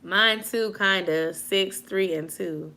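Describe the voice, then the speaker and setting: A woman's voice: mid-range voice, slow. A woman in her late twenties chatting casually with viewers from home, answering comments and laughing a lot. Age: 20s. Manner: animated, laughing often, slangy. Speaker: vlogger chatting with live viewers. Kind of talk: casual live chat, reading comments.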